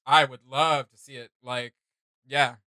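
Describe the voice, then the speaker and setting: A young man hosting a reaction video, chatting casually in an animated, rambling, slangy way. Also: medium pitch, a little slow, clean tone, no room sound. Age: young adult. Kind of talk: casual enthusiastic reaction chat. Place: small indoor room with desk and shelves.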